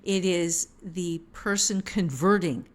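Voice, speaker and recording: A woman co-hosting a podcast, giving casual writing advice in a deliberate, explanatory way with emphatic pauses. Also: lowish voice. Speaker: podcast co-host.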